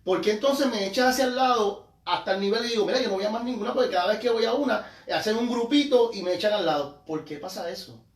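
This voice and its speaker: A man's voice: high-pitched, fast, hardly any pauses. Man in echoey, distant discussion recording. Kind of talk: casual discussion. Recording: echoey room, distant microphone.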